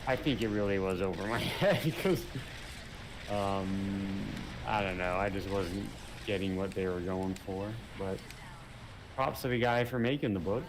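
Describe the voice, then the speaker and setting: A man's voice: deep voice, measured pace. A man chatting casually while playing a co-op game, rambling and hesitant with many fillers. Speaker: gamer. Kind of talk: casual gaming chat. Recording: noisy game audio behind.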